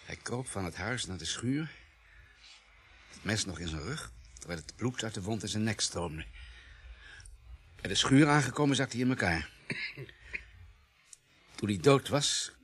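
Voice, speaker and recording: A man's voice: lowish voice, lively intonation, moderate pace, pauses often, fairly bright tone. A man acting in a radio drama as a matter-of-fact police pathologist with a precise, analytical manner. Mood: clinical, assured. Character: matter-of-fact male police pathologist, coolly reconstructing murders.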